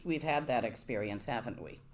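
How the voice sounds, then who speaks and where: A woman's voice: very deep voice, brisk. A woman giving a Buddhist dharma talk to a live audience, conversational and wry, often asking her listeners questions. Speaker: Buddhist teacher.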